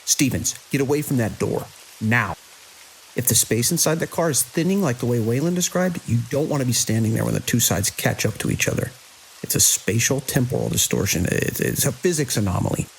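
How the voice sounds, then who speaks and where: An actor playing a grim, commanding security chief in a horror audio drama, giving terse, urgent orders. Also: male voice, medium pitch, animated, fairly quick, creaky, poor audio. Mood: firm, urgent. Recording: constant hiss and rain-like noise.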